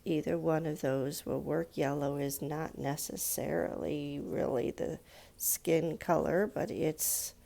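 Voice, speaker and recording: A woman's voice: sits low, fairly even intonation, slow, vocal fry, dark tone. A woman giving children an informal step-by-step drawing lesson, explaining things simply and patiently with questions to her young listeners. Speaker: children's art teacher.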